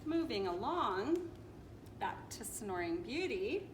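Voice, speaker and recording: Animated woman reading a story, roomy and noisy. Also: mid-range voice, slow pace. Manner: expressive, dramatic, playful. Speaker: storyteller reading a picture book aloud. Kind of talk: animated children's read-aloud with asides. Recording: roomy echo with clear background noise.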